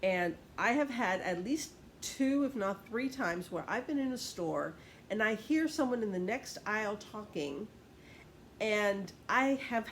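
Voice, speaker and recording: Slow, measured woman teaching Bible study. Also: fairly high pitch, a little hiss or hum. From Puerto Rico. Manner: thinking aloud, pausing and restarting, leafing through notes. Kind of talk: unscripted Bible lesson.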